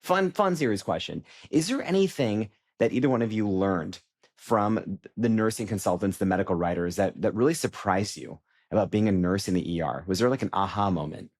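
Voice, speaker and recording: A man with a very deep voice hosting a podcast. He interviews his guests in an upbeat way, asking them friendly questions. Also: animated, fairly quick, darkish tone. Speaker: podcast host.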